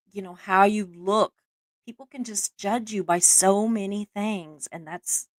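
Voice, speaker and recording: A woman's voice: medium pitch, dry recording. Chatty Southern woman, dry close sound. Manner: chatty and self-deprecating, laughs often, many fillers. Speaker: live stream host. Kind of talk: casual chat on a live stream.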